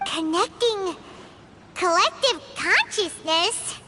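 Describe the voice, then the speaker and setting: A woman voicing a small, childlike fairy companion in a video game, squeaky, curious and excitable. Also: slow pace, background noise, heavily acted. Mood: surprised, puzzled. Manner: speaks of self in third person.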